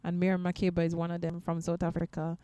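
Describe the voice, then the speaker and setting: A woman who sings, talking in a casual interview in a soft, reflective and earnest way. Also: on the low side, monotone, fast, clean tone, no room sound. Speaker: guest singer.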